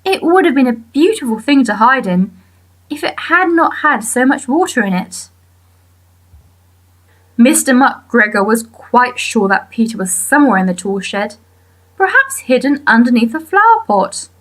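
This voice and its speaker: A woman narrating a children's story as an audiobook reader, expressive and with deliberate pauses. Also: a little high, low-quality recording.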